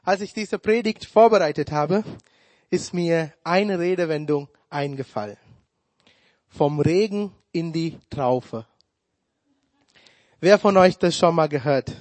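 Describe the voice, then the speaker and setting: A man whose first language is Tamil, preaching a sermon in a slow, deliberate way with touches of humor. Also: fairly high pitch, a little mellow, dry recording.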